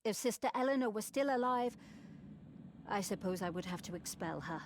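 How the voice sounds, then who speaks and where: A troubled abbess in a video game , acted by a woman. She speaks gravely and with measure at a middling pitch, stern yet forgiving. There is clear noise behind the voice. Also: lively intonation, moderate pace, lightly acted. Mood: grave, resigned. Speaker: game character. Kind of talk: scripted video game dialogue acting.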